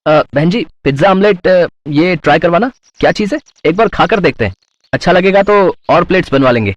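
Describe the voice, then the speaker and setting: A man's voice: high voice, lively intonation, a little fast, dry recording, lo-fi sound. A man playing a polite, enthusiastic food blogger in a cartoon story. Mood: curious. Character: cheerful male food blogger, family man. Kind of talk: Hindi moral-story cartoon voice acting.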